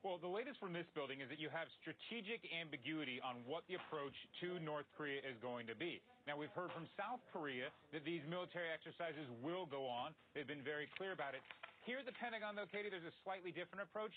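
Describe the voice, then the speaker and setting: A man's voice: a little high, expressive, fast, slight background noise. A man giving a live report as a news correspondent. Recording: tinny phone-like audio.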